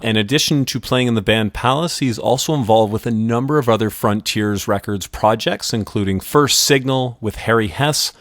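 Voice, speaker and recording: A man's voice: fairly low pitch, moderate pace, few pauses, no echo, studio quality. A man in his thirties from Canada, hosting a casual radio interview in a chatty, upbeat way. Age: 30s. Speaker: radio show host.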